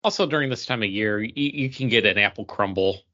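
A man's voice: fairly low pitch, a little fast, pushed and bright, dull audio. A man co-hosting a podcast in casual group conversation. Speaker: podcast host.

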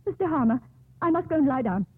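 A woman's voice: high-pitched, lively intonation, fast talker, dry recording. A woman playing a refined, fragile, nervous doctor's wife in 1950s radio drama style. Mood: anxious, faint. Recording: tinny old radio broadcast.

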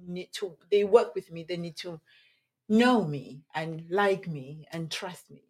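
Soft-voiced woman, low and husky. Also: unhurried. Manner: animated, laughs often, restarts sentences. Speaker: podcast guest, nutritionist. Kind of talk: casual interview chat.

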